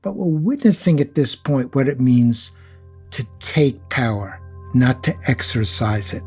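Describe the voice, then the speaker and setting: A man's voice: medium pitch, very animated, unhurried, darkish tone, breathy edge, faint music behind. A man speaking as an academic expert, giving analytical commentary in an interview.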